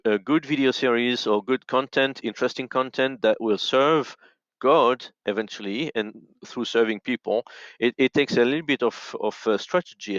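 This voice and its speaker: Guy with a lowish voice giving advice on a podcast, kinda halting, dry muffled audio. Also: no echo. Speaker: audio and radio expert, podcast guest. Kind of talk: conversational advice in an interview. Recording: dull, dry lo-fi call audio.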